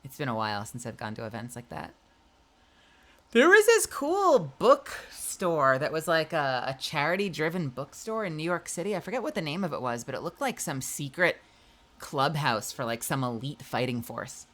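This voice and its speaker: A woman's voice: deep voice, sing-song, moderate pace. A woman with a nasal voice hosting her live stream, chatting casually and greeting viewers as she reads the chat. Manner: chatty and loose, frequent swearing and laughs. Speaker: livestream host.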